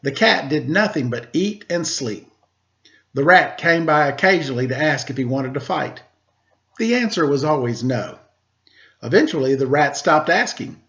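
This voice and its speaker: A man tells a children's story with expressive narration. His voice is pitched slightly high. The recording sounds a bit dull and lo-fi. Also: moderate pace.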